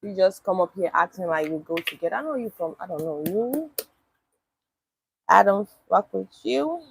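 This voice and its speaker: A woman's voice: mid-range voice, lively intonation, normal speed, creaky, noisy background. A young woman, live-streaming casually and answering chat comments bluntly, with swearing. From Nigeria.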